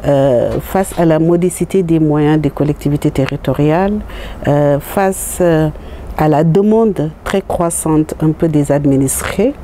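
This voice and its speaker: A middle-aged woman with a Senegalese accent explains her work as an author in a halting, word-searching way. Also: deep voice, warm tone. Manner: hesitant, halting, searching for words. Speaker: author and jurist presenting own book. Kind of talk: explanatory promotional interview. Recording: noticeable background noise.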